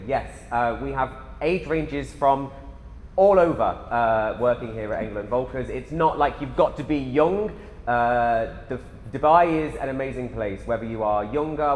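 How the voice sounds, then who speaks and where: A man's voice: mid-range voice, slight background noise. Upbeat young man hosting, echoey hall. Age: 20s. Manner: upbeat, reads out viewer questions. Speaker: webinar host. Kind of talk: hosting a live company webinar. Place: company headquarters. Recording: roomy hall with long reverb.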